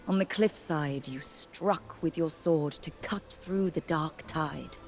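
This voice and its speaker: A woman playing a solemn, composed temple priestess in a video game, speaking in a measured, formal way. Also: sits low, faint music behind. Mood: puzzled. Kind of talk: scripted video game dialogue.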